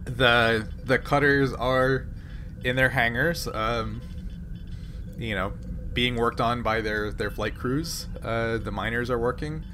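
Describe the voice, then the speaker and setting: Halting male game master. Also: mid-range voice, bright, forceful tone, slight background noise. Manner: halting, full of ums and trailing off. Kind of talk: casual game narration. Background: music.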